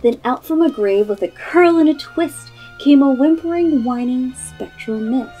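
Woman in her twenties, storyteller, mellow tone, slightly high pitch, casual read-aloud delivery, small room. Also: poor audio. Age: 20s. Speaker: storyteller reading a picture book aloud.

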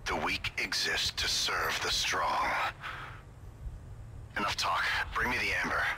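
A man's voice: deep voice, a little slow, pushed and bright, a little hiss or hum, exaggerated voice. A man playing a ruthless, commanding villain in a video game, curt and authoritative. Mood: cold, menacing. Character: ruthless, commanding male villain giving orders. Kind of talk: video game cutscene acting.

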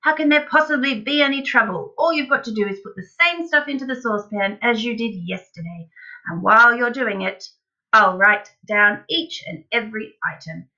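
A woman voicing a wildly excited, bossy middle-aged farmer father in a children's storybook, gushing and impatient. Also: mid-range voice, normal speed, dull audio. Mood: impatient, urgent. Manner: emphatic, gushing, exclaiming, impatient. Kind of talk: dramatised children's storybook reading.

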